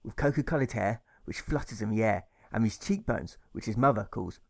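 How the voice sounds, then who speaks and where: A man reading a rhyming audiobook story aloud in measured, rhythmic phrases. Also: on the low side, animated, gravelly, darkish tone, dry recording, clean studio sound. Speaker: author reading his own audiobook. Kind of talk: scripted storybook narration in rhyme.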